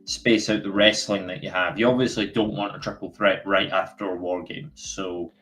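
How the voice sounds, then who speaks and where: A man's voice: low voice, flat delivery, normal speed, fairly bright tone, soft background music. A man hosting a casual live stream and talking in a chatty, easygoing way. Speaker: host.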